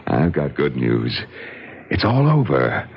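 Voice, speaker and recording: A smooth, guarded American gentleman in a seventies radio drama. His low voice swings dramatically up and down, as befits a man with a secret. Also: wildly swooping pitch, slow pace, muffled recording, slight background noise, heavily acted. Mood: smug, reassuring. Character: smooth, guarded well-to-do man hiding a crime. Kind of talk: 1970s radio drama acting.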